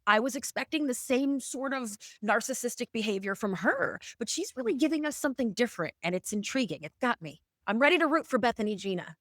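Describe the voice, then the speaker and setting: A woman's voice: fairly high pitch, sing-song, quick pace, few pauses, a bit bright, no echo, clean studio sound. A woman hosting a podcast, chatting casually about a drama episode. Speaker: podcast host.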